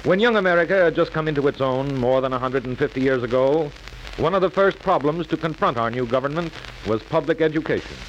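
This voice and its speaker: A man's voice: fairly high pitch, quick pace, highs cut off, close to an everyday voice. A man narrating a 1940s educational radio program in a formal, declarative way. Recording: noisy vintage 1940s radio recording.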